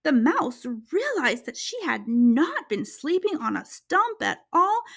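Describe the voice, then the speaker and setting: Expressive, high-voiced woman reading to children. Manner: dramatic, careful storytelling. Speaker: children's story reader and host. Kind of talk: expressive read-aloud narration for children.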